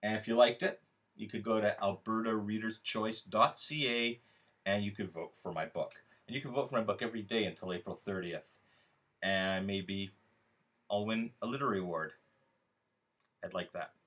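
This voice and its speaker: A man's voice: fairly low pitch, normal speed, fairly bright tone. Male, mid-range voice. An author talking informally to his audience about his writing. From Canada. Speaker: writer promoting and reading own book. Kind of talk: informal spoken introduction and author reading.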